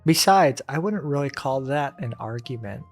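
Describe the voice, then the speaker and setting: Female streamer, very deep voice, casual self-directed playthrough remarks, game music underneath. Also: animated, normal speed. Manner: muses aloud to herself.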